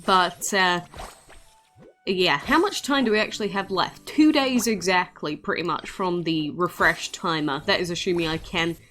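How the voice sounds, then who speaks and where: A woman's voice: fairly low pitch, normal speed, fairly bright tone, faint music behind. Chatty woman doing casual game commentary. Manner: chatty, playful. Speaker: gaming video creator.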